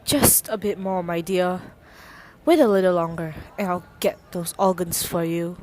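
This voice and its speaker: A woman's voice: fairly low pitch, a little mellow, lightly acted. A woman playing an obsessive, eerily polite male host, a grieving maniac, in an amateur radio drama. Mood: tender, obsessed. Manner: insistent, deliberate, menacing politeness.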